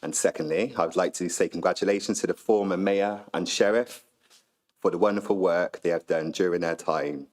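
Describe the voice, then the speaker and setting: A man recently elected mayor, slowly reading the oath of office and then giving a speech in a croaky voice after a flu. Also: deep voice, darkish tone. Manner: slow, measured declaration. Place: council chamber.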